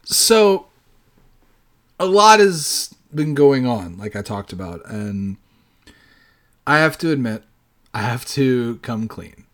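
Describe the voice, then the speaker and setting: Animated man in his late thirties, podcasting. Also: mid-range voice, takes it slowly, long pauses. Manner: rambling, swearing freely. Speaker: podcast host. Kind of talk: casual solo podcast monologue.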